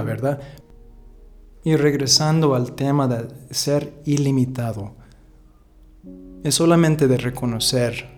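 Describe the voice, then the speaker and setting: A man whose first language is English, talking in a casual, rambling and thoughtful way as a podcast host. He often restarts his sentences and chuckles now and then. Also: medium pitch. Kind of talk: casual reflective monologue.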